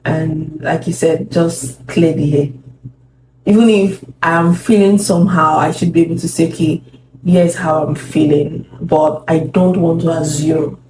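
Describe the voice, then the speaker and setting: A woman's voice: deep voice, fairly even intonation, measured pace, over music. A woman talking thoughtfully with her husband about communication in marriage, agreeing with him and adding her own points. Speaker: co-host, wife. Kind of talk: casual talk on marriage advice. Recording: echoey room with background noise.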